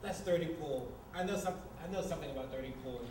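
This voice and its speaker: Male actor as sardonic, teasing housemate, higher-pitched voice, live comedy reading, far from mic with heavy room echo. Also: quick pace, a little mellow, lightly acted. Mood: defensive, wry. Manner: sardonic, needling. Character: wry, teasing, unbothered male housemate, messier one. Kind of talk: staged screenplay reading, comedic. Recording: distant, very echoey room, noisy ambience.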